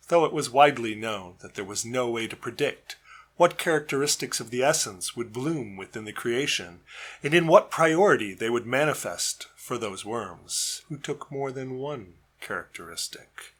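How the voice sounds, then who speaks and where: A man reading his own narrative poem as a storyteller, with measured, deliberate phrasing. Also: medium pitch, fairly bright tone. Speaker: poet reading own work.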